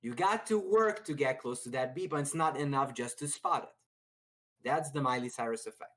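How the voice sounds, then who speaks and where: A man's voice: mid-range voice, moderate pace, fairly bright tone. A man explaining chess with playful analogies in an emphatic, conversational way. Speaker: chess commentator.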